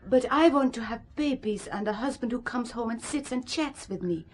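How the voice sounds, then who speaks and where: An actress playing a frank, earnest young German woman with a German accent, speaking deliberately in an audio drama. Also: on the high side, natural voice. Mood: wistful, frank.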